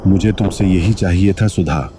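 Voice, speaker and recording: A man's voice: low-pitched, moderate pace, mellow, slight background noise, close to an everyday voice. A man playing the solemn, earnest ghost of an honest village milkman in a Hindi cartoon story. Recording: music behind the voice.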